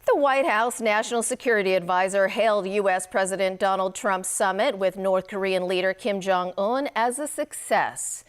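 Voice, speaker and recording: A woman's voice: mid-range voice, moderate pace, hardly any pauses, smooth. Female news anchor, mid-range voice, scripted bulletin read.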